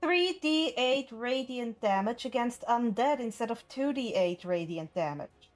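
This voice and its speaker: A woman's voice: fairly high pitch, animated, unhurried, faint music behind. A woman running a tabletop roleplaying game as game master, firm and matter-of-fact.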